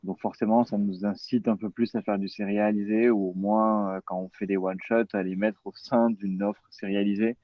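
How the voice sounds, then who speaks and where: A man's voice: fairly low pitch, little pitch movement, moderate pace, few pauses, dry recording, dull audio. A man, a podcast producer explaining a point as a guest on a panel.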